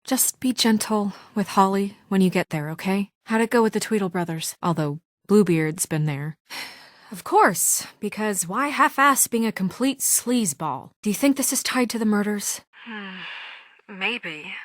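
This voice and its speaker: A woman voicing a brisk, authoritative, no-nonsense woman administrator under pressure in a video game, crisp, earnest and firm. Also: medium pitch, animated, no room sound, clean studio sound, close to an everyday voice. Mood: wry, focused. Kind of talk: naturalistic video game voice acting.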